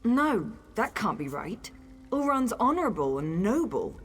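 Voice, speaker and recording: Casting: young female game fugitive, feisty, wry and guarded, expressive moving pitch, light music in the background. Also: measured pace, breathy edge, exaggerated voice. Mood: disbelieving, troubled. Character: wary, sardonic, spirited young female fantasy fugitive. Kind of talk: video game character dialogue.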